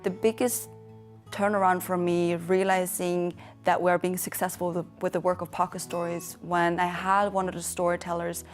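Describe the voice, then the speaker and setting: A young woman with a Norwegian accent talks in a fairly low voice. She is measured and earnest, reflecting as an interviewee in a studio, with music playing behind her. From western Norway. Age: young adult. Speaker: founder of a storytelling organisation, interviewee. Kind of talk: reflective interview monologue to camera. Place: dark indoor studio.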